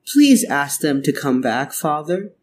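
A man's voice: fairly high pitch, sing-song, a little slow, a little hiss or hum. A man voicing a spoiled, emphatic little princess in a children's storybook read-aloud. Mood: pleading. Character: spoiled bossy little princess girl, later contrite.

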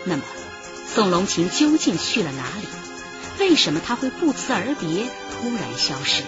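A woman narrates a radio crime drama in a mid-pitched, very lively voice. Her delivery is scripted and dramatic. Prominent music runs behind her, and the sound is slightly dull. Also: normal speed.

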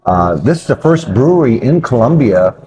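An older man with a middle-pitched voice talks casually as a beer show co-host. He rambles along unscripted, often circling back to repeat a phrase before finishing it. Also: very animated, moderate pace, poor audio. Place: brick-walled pub, indoors at a table.

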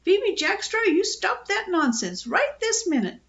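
A woman's voice: very high-pitched, big pitch swings, a little slow, a bit bright, muffled recording, voice pushed up, exaggerated voice. A woman voicing a bossy, teasing female parrot in a children's storybook, with a sardonic, scolding manner.

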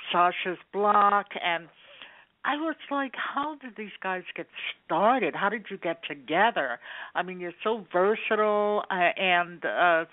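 A woman's voice: mid-range voice, dry recording. A woman hosting an internet radio interview show, enthusiastic and full of fillers. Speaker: radio host. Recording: dry tinny phone line.

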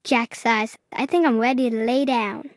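A woman narrating a children's story in an enthusiastic read-aloud style, clearly paced for young listeners. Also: on the high side, fairly even intonation, normal speed.

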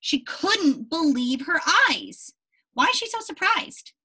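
A young woman teacher reading aloud to young children in an expressive, deliberate way and putting questions to them. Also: high voice. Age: young adult. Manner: animated, deliberate storybook reading with questions to the listeners.

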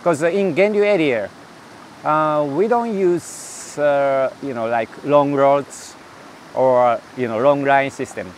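A middle-aged man from Japan with a Japanese accent, a non-native speaker answering interview questions in English, with many pauses and fillers, recorded outdoors by a stream on a lapel mic. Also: medium pitch, slight background noise. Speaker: interview guest.

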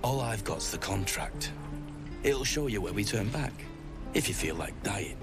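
A man voicing a blunt, fatalistic mercenary adventurer in a video game, gruff and sardonic with colloquial speech. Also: on the low side, animated, a little slow. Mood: bitter, dismissive. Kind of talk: video game dialogue acting. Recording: prominent music under the voice.

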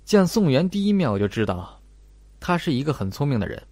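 A man playing a calm, clever young male student detective in an audiobook, measured and explanatory. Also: a little high, dry recording. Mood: cool, analytical.

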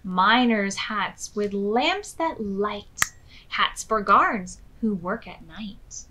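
American woman, children's storytime reader, slow pace, bright voice, expressive and clearly enunciated, faint music behind. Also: medium pitch, slight background noise. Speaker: children's storytime host at a public library. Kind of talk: read-aloud storytelling for preschoolers. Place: small furnished room indoors.

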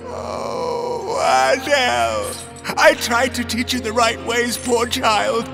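A man's voice: very high voice, slow, vocal fry, music in the background, exaggerated voice. A man playing a deranged, tormented prisoner monk in a melodramatic video game cutscene. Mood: tormented, delirious.

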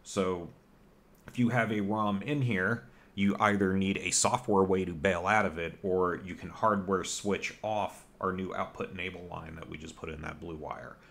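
A man's voice: low voice, fairly even intonation, normal speed, vocal fry, a bit bright. A man casually walking through an electronics hobby mod at his workbench, in a rambling, self-deprecating way. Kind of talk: casual technical walkthrough. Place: home workbench with computer gear.

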